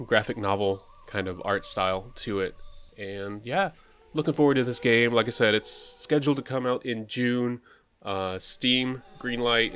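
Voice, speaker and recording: A man's voice: fairly low pitch, fairly bright tone, a little hiss or hum. A man giving casual game playthrough commentary, musing aloud with frequent questions and reading text off the screen. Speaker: host of a game playthrough channel. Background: music.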